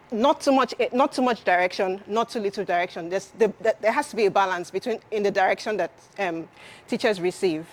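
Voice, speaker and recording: A woman presenting at a conference, explaining her points step by step and repeating key phrases for emphasis. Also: medium pitch.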